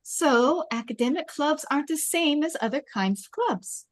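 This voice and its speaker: A snooty popular schoolgirl , voiced by a woman in a drama read. Her voice is fairly high and closely recorded, with a cutting, condescending edge. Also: animated, normal speed, dry recording. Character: haughty, judgmental popular rich teenage girl. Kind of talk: script table read.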